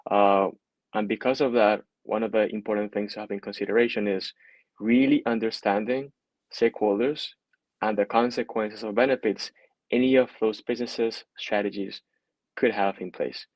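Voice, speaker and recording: A man's voice: on the low side, fairly even intonation, moderate pace, a little mellow, no echo, low-quality recording. A man speaking as a panelist, giving his introduction and views in a discussion. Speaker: panelist, design strategist.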